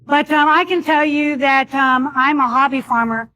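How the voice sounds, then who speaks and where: A woman's voice: high-pitched, fairly even intonation, moderate pace, sharp tone. Earnest woman advising a meeting crowd. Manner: earnest, advising the audience directly. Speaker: speaker at a public meeting. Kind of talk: public meeting talk.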